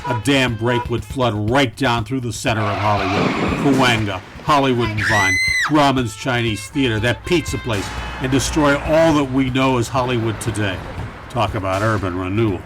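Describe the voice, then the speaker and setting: An actor playing a melodramatic, wisecracking private eye hero who is easily flustered, in a campy noir radio satire. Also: male voice, mid-range voice, normal speed, music in the background, lightly acted. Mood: dramatic narrating.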